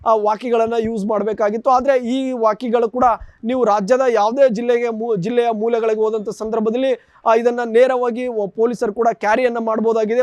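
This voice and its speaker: A man giving an unscripted TV news report in a halting, repetitive way with formal phrasing. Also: very high voice, darkish tone, no room sound.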